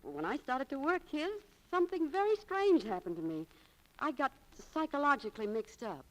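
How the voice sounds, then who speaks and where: A woman's voice: high voice, sing-song, moderate pace, a bit bright, low-quality recording. An actress playing a candid, romantic young shopgirl in a 1940s radio drama, breezy and confiding. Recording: vintage 1940s radio recording.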